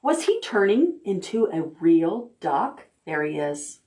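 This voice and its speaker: A woman's voice: fairly low pitch, big pitch swings. A woman librarian reading picture books aloud to preschoolers, stopping to ask the children questions. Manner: gentle, deliberate storytime delivery.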